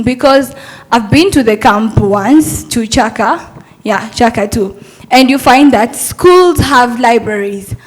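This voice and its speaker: A woman's voice: fairly high pitch, low-quality recording. A young adult woman, a Montessori teacher, giving an earnest, persuasive talk at a summit and asking rhetorical questions.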